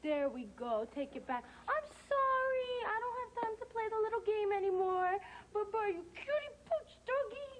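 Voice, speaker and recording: Children's TV dog lover: gushing, sing-song baby talk, very animated intonation, faint noise behind. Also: female voice, sits high, moderate pace, poor audio. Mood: insistent, sweet. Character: sweet, gushing woman who adores dogs. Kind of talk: children's television acting.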